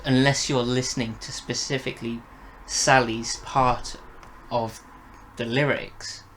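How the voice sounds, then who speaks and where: A man's voice: medium pitch, flat delivery, measured pace, a bit bright, a little hiss or hum, rough recording. A man co-hosting a review podcast, talking through details in a casual fan discussion.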